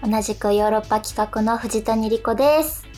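A woman's voice: on the high side, moderate pace, darkish tone, soft background music. A woman co-hosting a radio talk, giving short, polite, encouraging responses as a listener. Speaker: radio show co-host.

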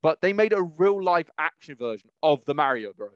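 A man with a slightly high voice chats casually and with strong opinions. He rambles and repeats phrases for emphasis. The close, dry recording sounds a little dull and muffled. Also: sing-song, moderate pace, clear, resonant tone, no echo. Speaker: podcast host. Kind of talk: casual opinionated chat about films.

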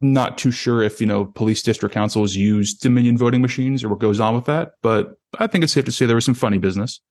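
A man's voice: medium pitch, quick pace, darkish tone. A man hosting a podcast in a casual, conversational interview style. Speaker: podcast host.

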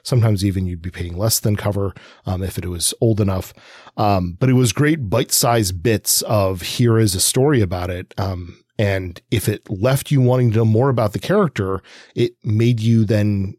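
A man's voice: lowish voice, moderate pace, a little mellow, slightly rough, dry recording, clean studio sound. A man co-hosting a podcast, talking in a chatty, digressive, casual way. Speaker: podcast co-host. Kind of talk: casual rambling discussion.

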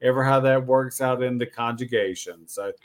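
A man's voice: medium pitch, fairly even intonation, moderate pace. Effusive, joking male podcast host. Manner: effusive, joking, repeats phrases. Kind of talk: casual chat interview.

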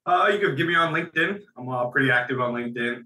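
A man with a very bright, forceful tone answers interview questions at a medium pitch. He talks conversationally and keeps restarting and repeating phrases. The sound is echoey, as if picked up in a bare room. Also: expressive, fast. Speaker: business guest.

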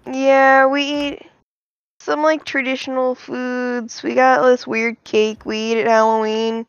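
A woman from Ireland, chatting casually on a podcast, halting with frequent ums. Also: sits high, little pitch movement, clear, resonant tone, no echo. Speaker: podcast co-host. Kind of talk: casual chat. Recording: dull lo-fi mic.

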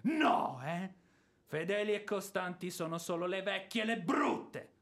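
Blustering ex-army officer, high-pitched, declamatory, sarcastic, indignant, theatrical radio play delivery. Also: male voice, measured pace, a bit bright. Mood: indignant ranting. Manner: declamatory, sarcastic, prone to bellowing. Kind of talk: theatrical Italian radio drama acting.

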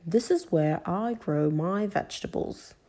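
A woman voicing a kindly old grandfather pig in a children's storybook, explaining things in a measured manner. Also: lowish voice, warm tone.

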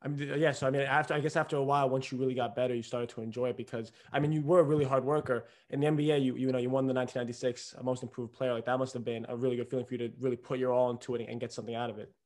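A young man hosting a podcast at a quick clip, eager and chatty. He strings his questions together with frequent "I mean" fillers. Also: medium pitch, level tone, a little mellow. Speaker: podcast host. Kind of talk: casual interview chat.

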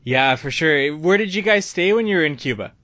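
A man's voice: a little high, animated, a little fast, a bit bright, no echo, dull audio. A man hosting a travel podcast, reading a scripted intro and then asking interview questions with upbeat energy. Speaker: podcast host.

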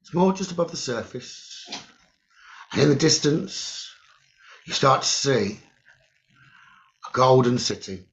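A man leading a guided meditation in short, halting phrases with long pauses and a creaky voice. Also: medium pitch, very animated. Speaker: guided meditation leader, psychic medium. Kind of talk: slow guided meditation narration. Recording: dull, lo-fi sound.

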